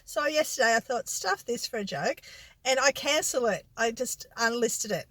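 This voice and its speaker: A woman's voice: on the high side, lively intonation, normal speed, fairly bright tone, dry recording. A middle-aged woman with an Australian accent talking casually to her viewers, rambling and correcting herself as she goes. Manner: rambling, self-correcting, colloquial. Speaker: vlogger and secondhand reseller. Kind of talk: casual chatty vlog monologue.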